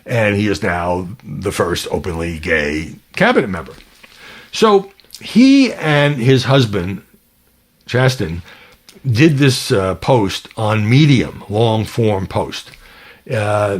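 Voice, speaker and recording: A man hosting a podcast, giving conversational news commentary with emphatic, opinionated asides. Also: lowish voice. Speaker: podcast host and political commentator.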